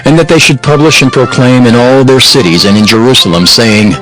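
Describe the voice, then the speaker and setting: A man narrating a dramatized scripture reading in a solemn, measured and formal style. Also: mid-range voice, a bit bright, soft background music.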